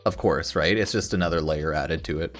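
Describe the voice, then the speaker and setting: A man's voice: a bass voice, brisk. A man doing casual, off-the-cuff news commentary as a gaming video creator, rambling and opinionated in slangy speech. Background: music.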